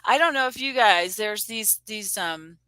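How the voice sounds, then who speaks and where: A woman's voice: medium pitch, animated, unhurried, bright, forceful tone, smooth, no echo. A woman hosting a casual online chat, rambling with many fillers and restarts. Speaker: show host. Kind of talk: casual video-call chat. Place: home room on a video call.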